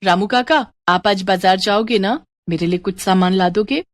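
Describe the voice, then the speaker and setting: A woman voicing an eager, impatient young bride in an expressive storybook dramatization. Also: mid-range voice, moderate pace, no echo, clean studio sound, natural voice. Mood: fretful. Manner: expressive, exclaiming. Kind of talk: Hindi moral-story dramatization.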